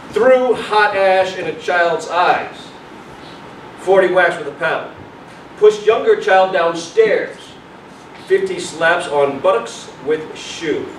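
A man playing a defensive, officious director of a boys' institution in a staged play reading, emphatic and halting. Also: fairly high pitch. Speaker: stage actor. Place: small indoor venue with audience. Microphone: far camera. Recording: echoey room, distant mic, faint noise.

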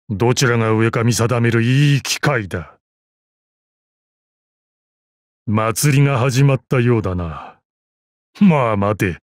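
Man acting a gruff old martial arts master, close and dry. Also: medium pitch, no echo, close to an everyday voice. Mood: musing, composed. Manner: archaic old-man speech, gruff dignity, dry amusement. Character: proud, battle-hardened old martial arts master assassin. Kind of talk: Japanese mobile game character voice lines.

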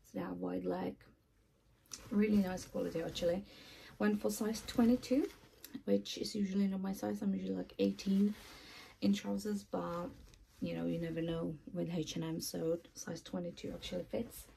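A woman's voice: lowish voice, little pitch movement, normal speed, gravelly, mellow, a little hiss or hum, poor audio. A woman in her twenties chatting casually to her vlog audience, recorded on a phone in a small room at home. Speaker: vlogger.

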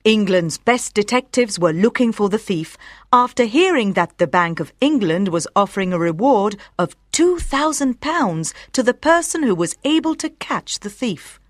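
A woman reading scripted audiobook narration, telling a story with clear articulation for language learners. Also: medium pitch, lively intonation, normal speed, dry recording, clean studio sound.

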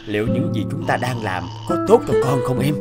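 A man voicing a gentle, worried father in a cartoon, mild and concerned in manner. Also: medium pitch, expressive, fairly quick, over loud music, lightly acted. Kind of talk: Vietnamese cartoon dubbing.

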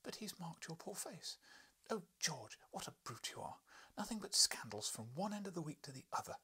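A man voicing an indignant, scolding wife in an audiobook, with a breathy voice. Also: on the high side, sing-song, moderate pace, pushed and bright, voice pushed up, full character voice. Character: indignant, scolding wife of a professor, high-strung woman. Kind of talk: audiobook character voice.

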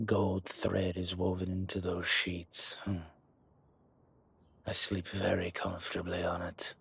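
Man voicing a husky, deep, suave dragon mob boss. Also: monotone, a bit bright, exaggerated voice. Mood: smug, teasing. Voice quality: husky, rough. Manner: slow, deliberate, pausing, smooth-talking. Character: suave, arrogant male dragon mob boss, older, fond of luxury. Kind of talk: intimate scripted roleplay audio, one-sided conversation.

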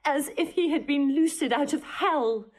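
A woman's voice: high-pitched, unhurried, poor audio. An actress playing a frightened, obedient young noblewoman, breathless and distressed, in a classical Shakespearean audio drama.

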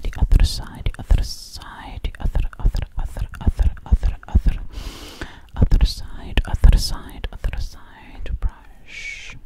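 A woman doing ASMR in a breathy whisper, very close to the mic, soothingly repeating words over and over. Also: medium pitch. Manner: slow, soothing, hypnotic repetition of words. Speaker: ASMR video creator. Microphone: very close ear-to-ear microphone.

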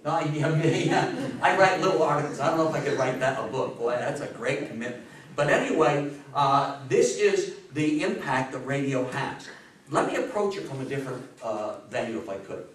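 A man in his fifties giving a history talk to an audience, emphatic and theatrical, with dramatic pauses. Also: mid-range voice, animated. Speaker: lecturer. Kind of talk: animated public history talk to a live audience. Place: meeting room with a seated audience, indoors. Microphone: distant camera microphone. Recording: echoey room, recorded from far off.

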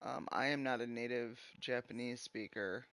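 A man streaming a video game, chatting casually and slowly, sometimes mildly frustrated with the game. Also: medium pitch, steady tone, fairly bright tone, dry recording. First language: English. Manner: slow, musing, mildly frustrated. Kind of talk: casual gameplay commentary.

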